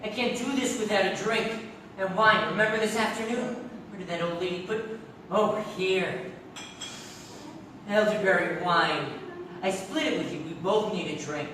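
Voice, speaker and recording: A woman's voice: mid-range voice, moderate pace, long pauses, close to an everyday voice. A woman playing a tired, nervous surgeon henchman who wheedles and pleads in a live stage comedy. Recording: echoey theatre, distant mic, faint noise.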